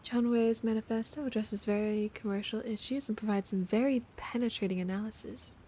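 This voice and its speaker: A woman livestreaming a game, chatting casually and reading text aloud in a calm, laid-back manner. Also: on the high side, brisk, slight background noise. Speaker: streamer.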